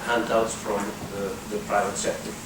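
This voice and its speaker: A man with an Icelandic accent giving a conference talk, halting with frequent filler pauses. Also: fairly low pitch, level tone, heavy background noise. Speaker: conference speaker. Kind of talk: workshop talk. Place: conference hall. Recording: echoey hall, distant mic.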